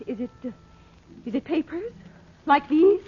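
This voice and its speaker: A woman's voice: sits high, expressive, a little slow. An actress playing a genteel, anxious mother in a 1940s radio drama, polite and a little hesitant. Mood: anxious, probing. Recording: muffled, faintly noisy old radio transcription.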